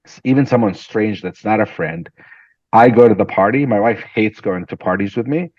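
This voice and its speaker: A man from Syria, a guest entrepreneur, telling stories in an animated, conversational interview and often repeating words as he starts a sentence. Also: lowish voice, normal speed, no echo, highs cut off.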